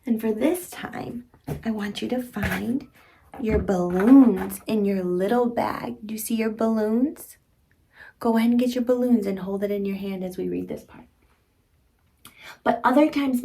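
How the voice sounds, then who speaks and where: A woman's voice: fairly high pitch, gravelly, a little mellow, a little hiss or hum. A woman in her thirties reading a picture book aloud to young children, speaking expressively in simple teacherly phrases and addressing the child directly.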